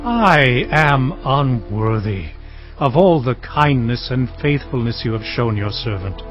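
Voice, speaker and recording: An actor playing an earnest, humble herdsman patriarch in a dramatized scripture reading, speaking in a measured, solemn way. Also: mid-range voice, lively intonation, natural voice. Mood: anxious, pleading. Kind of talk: dramatized audio scripture acting. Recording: dull lo-fi audio over music.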